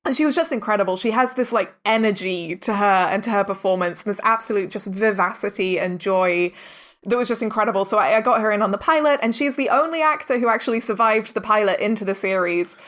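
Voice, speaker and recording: A woman's voice: medium pitch, fast talker, fairly bright tone. A woman speaking as a podcast guest in casual interview chat, effusive and earnest, often dropping "like" into her sentences. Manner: effusive, earnest, peppers speech with "like". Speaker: podcast guest, audio drama director and producer.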